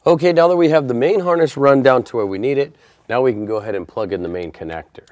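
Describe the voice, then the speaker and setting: A man's voice: medium pitch, fast, darkish tone, dry recording. A man presenting a how-to installation tutorial, explaining each step in a plain, methodical way. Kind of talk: step-by-step instructional narration.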